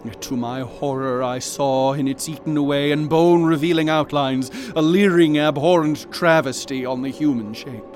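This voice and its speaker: An actor playing a haunted man who narrates his own horror in slow, ornate, dread-laden words. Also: on the high side, steady tone, a little mellow, soft background music, lightly acted.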